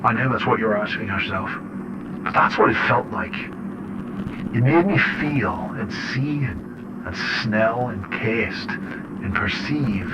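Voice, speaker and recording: A man playing an unsettled father who records a horror audio diary, speaking in deliberate, reflective and halting phrases. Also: fairly low pitch, natural voice. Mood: disturbed, intense. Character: unsettled father recording eerie journal notes. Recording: muffled, noisy radio-like audio log.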